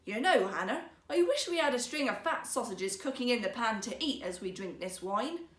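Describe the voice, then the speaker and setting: A woman's voice: on the high side, expressive, moderate pace, close to an everyday voice. Woman voicing an earnest woodcutter husband in a roomy echo. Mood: subdued. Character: poor woodcutter husband, earnest, easily riled, teasing. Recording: roomy, echoing sound.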